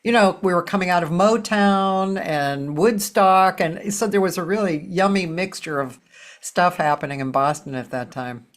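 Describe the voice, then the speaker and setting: A woman's voice: lowish voice, moderate pace. An older woman with an American accent, hosting a casual conversational interview. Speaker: interview host.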